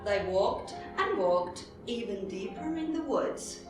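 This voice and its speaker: A woman narrating a scripted readers theatre story in a deliberate, theatrical way. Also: medium pitch, expressive. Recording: very echoey hall, distant mic, music behind.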